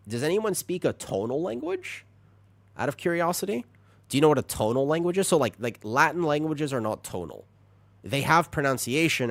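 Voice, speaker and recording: A man streaming a video game, chatting with viewers, reacting with excited high bursts and then dropping into quiet asides. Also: no echo. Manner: animated, jumps into high excited bursts while reading chat; drops to low, quiet asides while setting up. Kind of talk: live-stream chat while gaming.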